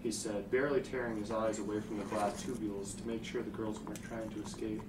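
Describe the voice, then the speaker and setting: A man's voice: lowish voice, flat delivery, fast. A man reading scripted story narration as the narrator in a hotel room. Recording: echoey, noisy hotel room, distant.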